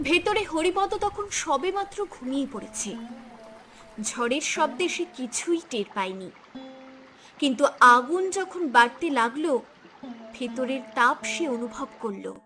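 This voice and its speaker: A female narrator tells a cartoon tale in a dramatic, scripted style. Her voice is high, and faint music plays underneath. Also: expressive, unhurried, fairly bright tone.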